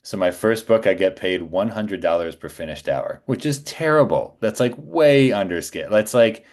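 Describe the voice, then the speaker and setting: A man with a deep, low-pitched voice speaks as a guest in a casual podcast interview. He is a voice actor, and he talks informally and conversationally. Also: animated, normal speed, a little mellow.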